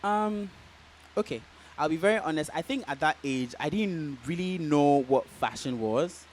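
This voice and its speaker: A Ghanaian man answering interview questions in a relaxed, casual way, with many "um"s and "like"s. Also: fairly high pitch, moderate pace, a little hiss or hum. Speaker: guest fashion designer.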